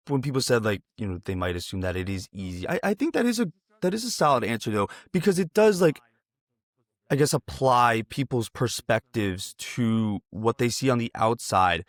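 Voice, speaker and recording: A man's voice: medium pitch, dry recording. A man hosting a casual interview, earnest and rambling, often repeating his phrases as he builds a question. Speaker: interviewer, host.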